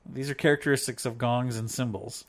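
A man's voice: mid-range voice, steady tone, brisk. A man chatting with his wife on a faith talk show, playful and rambling as he counts off points like a teacher. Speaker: co-host, devotional talk.

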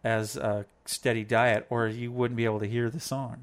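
A man's voice: medium pitch, fairly even intonation, normal speed. Playful rambling man with a mid voice. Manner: playful, rambling, teacherly, counts off points. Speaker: co-host, devotional talk. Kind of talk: casual faith chat with his wife.

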